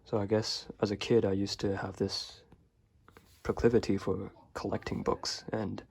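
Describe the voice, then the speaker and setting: A young man from Hong Kong, a native Chinese speaker, casually showing his bookshelf in a relaxed, rambling way with many fillers. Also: lowish voice, moderate pace, vocal fry, breathy edge. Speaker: vlogger.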